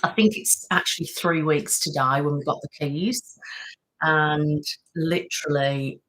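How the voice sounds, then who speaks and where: A woman's voice: deep voice, fairly even intonation, measured pace, fairly bright tone, poor audio. A young woman hosting a casual live-stream chat, greeting viewers by name and talking about how tired she is after a house move. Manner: chatty, thanks viewers by name, frequent "um" and "literally". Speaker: ASMR channel host.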